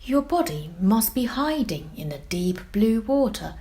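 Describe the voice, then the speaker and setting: A woman voicing a wise, kindly old female turtle in a children's storybook, helpful and unhurried. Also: a little high, sing-song, dark tone, voice pushed up. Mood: helpful, surprised.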